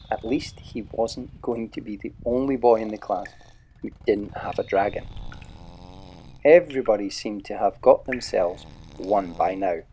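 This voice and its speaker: A man narrating a children's adventure story in a measured, dramatic way, dropping to a hush at tense moments. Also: lowish voice, a little hiss or hum, rough recording. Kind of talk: audiobook-style storybook narration.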